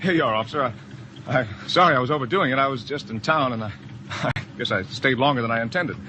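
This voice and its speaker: An actor playing a guilt-ridden, anxious husband telling his story in a halting, overwrought old-time radio drama style. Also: mid-range voice, bright, forceful tone, a little hiss or hum, close to an everyday voice. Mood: nervous, flustered. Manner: halting, stammering, overwrought delivery. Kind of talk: old-time radio drama acting, first-person confessional narration. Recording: old radio broadcast with music bed.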